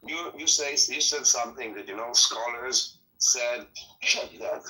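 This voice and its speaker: A man's voice: mid-range voice, measured pace, sharp tone, reverberant, far from the mic, rough recording. A man whose first language is Hebrew, arguing hard in an online debate, pressing his point and repeating himself when he is cut off.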